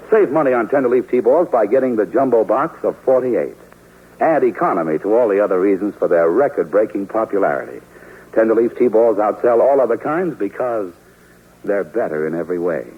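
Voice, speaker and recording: A man announcing a 1940s radio show and reading an emphatic commercial sales pitch. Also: breathy edge. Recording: tinny old radio broadcast.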